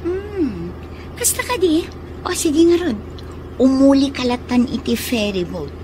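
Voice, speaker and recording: A woman's voice: high-pitched, big pitch swings, unhurried, darkish tone, a little hiss or hum, poor audio. An actress playing an obliging but scheming ferry worker woman in a radio drama. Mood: friendly, obliging.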